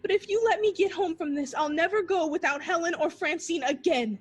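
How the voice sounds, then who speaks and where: A woman's voice: very high-pitched, fairly quick. A woman playing a terrified young woman fleeing home alone at night, talking to herself in breathless, repeated bursts in the style of a 1940s radio play. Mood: panicked, pleading. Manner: breathless, frantic self-talk in short repeated bursts.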